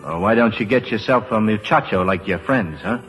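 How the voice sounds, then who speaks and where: An actor playing a tough, world-weary American drifter, brusque and sardonic, in 1940s radio drama style. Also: male voice, lowish voice, lively intonation, a little fast, a bit bright. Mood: brusque, impatient. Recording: reverberant old radio drama, music behind.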